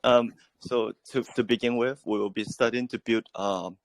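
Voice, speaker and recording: Man, native Japanese speaker, flat delivery, gravelly, hesitant, dry sound. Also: mid-range voice, no echo, poor audio. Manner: halting, many fillers and restarts. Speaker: project team member. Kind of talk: answering questions in an online AMA.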